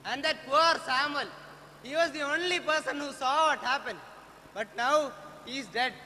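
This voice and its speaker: A man plays an excitable, opinionated street snack seller with an Indian accent in a stage play. His voice is very high and sharp. The hall around him rings with reverb. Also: normal speed, a little hiss or hum, heavily acted. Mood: gossipy. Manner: chatty, opinionated, indignant.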